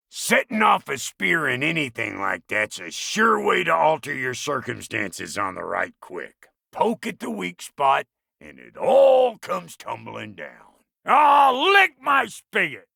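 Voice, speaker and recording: A man voicing a gruff, cranky, foul-mouthed but fond dwarf blacksmith in a video game, with a raspy, folksy drawl. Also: medium pitch, bright, forceful tone, dry recording, studio quality, exaggerated voice. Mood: somber, earnest, then frantic, irate. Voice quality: gravelly, raspy. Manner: folksy, crude, blustering drawl. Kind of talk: video game character dialogue.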